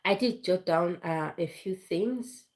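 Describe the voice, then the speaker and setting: A woman's voice: fairly low pitch, takes it slowly. A woman with flu hosting a casual live stream, chatty and repetitive, with fillers and restarts. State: has flu, voice low and foggy.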